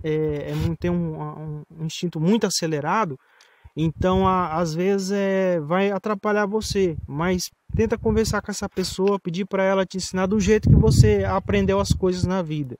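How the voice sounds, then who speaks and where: A man's voice: high-pitched, fairly even intonation, normal speed. A man in his late twenties with a São Paulo Brazilian accent, chatting casually and reassuringly as he explains things in a rambling way. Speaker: vlogger, learner rider. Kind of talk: casual instructional chat. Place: outdoors on a rural dirt road. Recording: outdoor phone recording, loud motorcycle engine noise.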